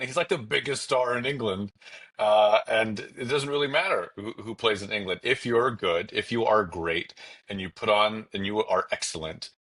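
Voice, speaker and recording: Lowish male voice, opinionated sports talk. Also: bright, forceful tone. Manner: conversational, opinionated. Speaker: sports podcast commentator. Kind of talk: casual sports discussion.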